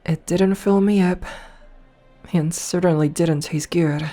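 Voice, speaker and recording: A voice actress playing a dominant, flirtatious noble vampire princess in a soft, slow ASMR roleplay, recorded close to the mic. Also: female voice, on the low side, fairly even intonation. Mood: musing. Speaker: voice actor. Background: faint music.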